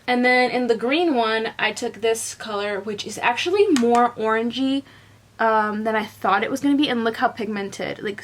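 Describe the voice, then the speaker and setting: A woman's voice: on the high side, a bit bright. A young woman in her twenties from Colombia with a light Southern US accent, chatting through a makeup tutorial with lots of "like" fillers. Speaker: beauty vlogger. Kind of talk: casual makeup tutorial chat. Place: bedroom at home.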